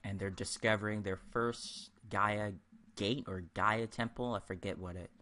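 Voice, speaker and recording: Bright-voiced man rambling through game commentary. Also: lowish voice, fairly even intonation, measured pace. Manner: rambling, hesitant, repeats himself. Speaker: gaming video host. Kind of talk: casual game walkthrough commentary.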